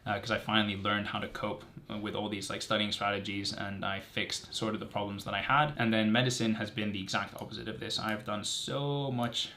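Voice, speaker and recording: A young man with a fairly low voice talks casually, as if straight to his viewers. He is from Greece and works through his points earnestly. He leans on key words and often repeats them for stress. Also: fairly even intonation, a little fast, few pauses, sharp tone, a little hiss or hum. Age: 20s. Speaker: medical student vlogger. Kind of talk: casual direct-to-camera chat, answering assumptions.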